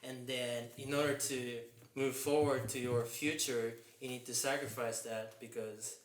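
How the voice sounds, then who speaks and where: A man's voice: medium pitch, fairly even intonation. Earnest young man, echoey room. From Japan. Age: 20s. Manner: earnest, halting, strings thoughts with "and then". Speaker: guest, fitness mentor. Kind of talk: casual podcast interview. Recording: echoey room with faint noise.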